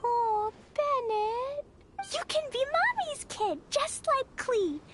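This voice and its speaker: A woman voicing a sweet, excitable little girl in a video game, with a childlike, earnest manner. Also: extremely high pitch, slow, over loud music, exaggerated voice.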